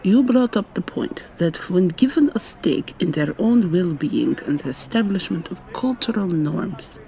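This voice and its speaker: Mellow, soft-spoken young vampire student , woman acting. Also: lowish voice, animated, measured pace, gravelly. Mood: probing, earnest. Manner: soft-spoken, articulate, questioning. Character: articulate, probing young female vampire student. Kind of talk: ASMR roleplay, intimate conversational acting.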